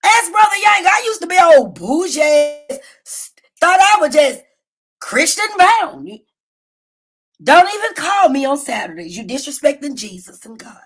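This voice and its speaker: High-voiced woman livestream host, brash, cussing, storytelling. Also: normal speed. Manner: loud, profane, animated storytelling. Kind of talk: casual livestream commentary. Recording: low-quality phone stream.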